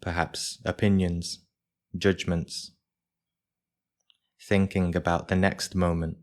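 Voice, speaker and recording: A man leading a live guided meditation for a group as its teacher, speaking in measured short phrases with pauses between them. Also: sits low, level tone.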